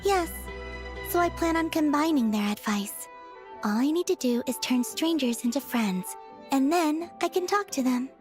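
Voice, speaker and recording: A woman voicing a serene, naive young maiden in a video game, gentle and guileless. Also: high-pitched, lively intonation, normal speed, soft background music. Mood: earnest.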